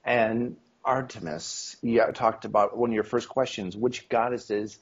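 A middle-aged man with a husky voice and a slight cold speaks as an interview guest over a video call. His talk rambles, full of ums, and he acts out quoted dialogue. The sound is dull and lo-fi. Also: mid-range voice, steady tone, measured pace. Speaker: interview guest, esoteric history author. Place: home room on video call.

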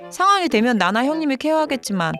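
A woman's voice: high voice, sing-song, fast, fairly bright tone. A woman playing a practical working wife and daughter-in-law, pointed and matter-of-fact, in a dramatized story video. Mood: pointed, sarcastic. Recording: music behind the voice.

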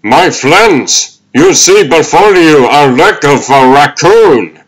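Man playing a slow, theatrical old turtle huckster. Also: fairly high pitch, lively intonation, rough recording. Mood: showy, eager. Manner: theatrical sales patter, drawn-out delivery. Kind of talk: comic dub character acting.

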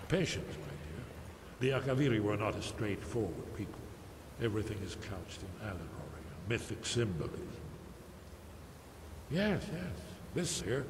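An actor playing an elderly, scholarly loremaster in a video game, musing and lecturing with drawn-out emphasis. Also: male voice, mid-range voice, natural voice. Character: elderly scholarly male loremaster, absorbed and rambling. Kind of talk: video game character dialogue. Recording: echoey stone hall, background noise.

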